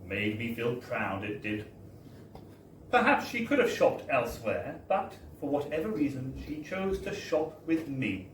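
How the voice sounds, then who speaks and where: Male storyteller, mid-pitched, wry theatrical live delivery, recorded at a distance in a noisy bar. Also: very animated, measured pace. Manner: theatrical, wry, with emphatic tag phrases. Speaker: reader of a story at a book launch. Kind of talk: dramatic live reading of a comic first-person story. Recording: roomy, distant live recording with background noise.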